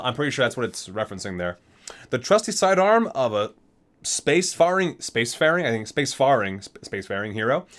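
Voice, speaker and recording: Animated man in an ASMR reading. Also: medium pitch. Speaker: video host reading aloud from a book. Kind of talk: ASMR reading with casual asides.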